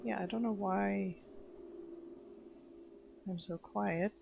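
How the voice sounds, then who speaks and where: A man doing casual live game commentary, calm and wry, asking bemused questions aloud as he plays. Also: high-pitched, slow pace, vocal fry, phone-quality sound. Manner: even-toned and calm. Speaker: game streamer. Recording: noisy stream with game ambience behind.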